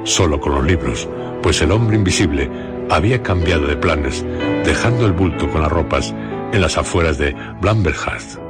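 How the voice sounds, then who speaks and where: Male radio drama narrator, extremely deep voice, scripted storytelling, music loud underneath.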